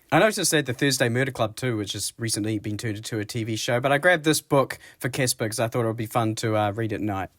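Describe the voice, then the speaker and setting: A man in his thirties with a New Zealand accent, narrating a casual daily vlog as a single dad, his voice low and rough from a cold, laid-back and self-mocking with frequent chuckles. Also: animated, fairly quick, a bit bright, no echo, clean studio sound.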